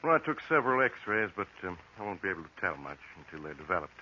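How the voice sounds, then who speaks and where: An actor playing a grave, tactful doctor in a 1940s radio drama, measured and professional, on a dull, noisy recording. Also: male voice, deep voice, very animated, pushed and bright, full character voice. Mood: reassuring, guarded.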